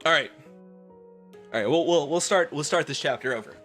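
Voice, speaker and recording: A man's voice: on the high side, fairly quick, fairly bright tone, soft background music. A man live streaming a dramatic reading of fan fiction, breaking off into exasperated asides.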